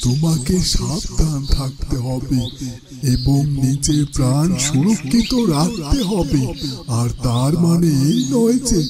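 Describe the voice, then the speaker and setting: Guy doing a fatherly cartoon holy man, highish voice, solemn and cautioning, loud music behind. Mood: firm, advising. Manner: cautioning, deliberate, repeats phrases. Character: solemn, protective holy dervish, a fatherly mentor. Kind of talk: dramatic Bengali cartoon voice acting.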